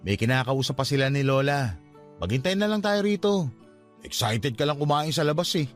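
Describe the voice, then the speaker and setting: An actor playing a troubled young man in a radio drama, blunt but softening. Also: mid-range voice, lively intonation, brisk, soft background music, lightly acted. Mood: teasingly annoyed.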